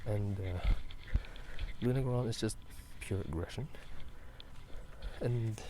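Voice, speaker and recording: A man in a hushed, half-whispered ASMR chat, rambling hesitantly as he walks outside at night. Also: fairly low pitch, slow. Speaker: gaming and ASMR video creator. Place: outdoors at night, walking. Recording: noisy night outdoors, frogs croaking. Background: frogs croaking, night ambience.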